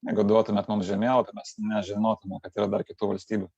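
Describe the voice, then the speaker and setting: A male interpreter with a low, gravelly voice who works through each phrase at a brisk clip. The sound is dry and dull, with the narrow lo-fi quality of call audio. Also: level tone, a little mellow, no room sound. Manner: quick, plain rendering of each phrase. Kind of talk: consecutive translation of a lecture.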